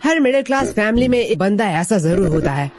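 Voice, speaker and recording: Comic cartoon narrator, acted out: wry family grumbler over loud music. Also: medium pitch, expressive, brisk, close to an everyday voice. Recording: voice under loud background music.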